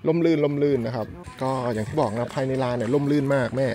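A man's voice: mid-range voice, fast, warm tone. A middle-aged man chatting politely as he films a family camping vlog outdoors. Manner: chatty, polite "krap" endings, narrating to camera. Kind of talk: casual vlog chat. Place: outdoor campground.

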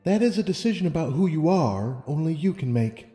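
A man plays a stately pony monarch from a storybook. The voice is mellow and deliberate, calm and wise, gently probing as it goes. It carries in a long, echoing hall reverb. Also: on the high side, soft background music, voice pushed up. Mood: gentle, stately. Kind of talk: audiobook character reading.

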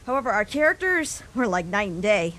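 A woman voicing a caring human child in a comic dub, a protective older sibling who speaks gently and reassuringly. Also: mid-range voice, very animated, brisk, slight background noise. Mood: tender.

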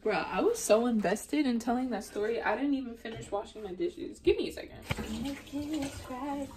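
A young woman chatting casually in her home kitchen, telling dramatic stories in an animated way. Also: on the high side, moderate pace, background noise. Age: young adult. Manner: animated, dramatic storyteller who breaks into song. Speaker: vlogger. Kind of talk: casual storytelling chat. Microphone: phone camera propped on counter.

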